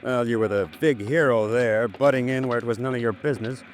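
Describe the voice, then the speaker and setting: A man playing a hot-tempered, defensive fellow in a 1950s radio drama, with halting bluster. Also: medium pitch, a little hiss or hum, close to an everyday voice. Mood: defensive, angry.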